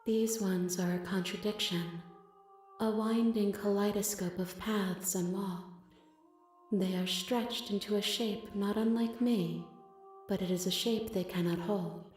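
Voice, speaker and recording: An actress playing a vast, serene, aloof female deity in a video game, with solemn, measured, cryptic delivery. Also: mid-range voice, fairly even intonation, darkish tone, close to an everyday voice. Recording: echoing voice over music.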